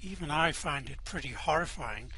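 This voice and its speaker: A man's voice: fairly high pitch, animated, moderate pace, sharp tone, slightly rough, no echo, full character voice, rough recording. A man voicing a cheerfully evil male alien prison official with a smarmy, falsely polite way of talking, in an amateur radio play reading.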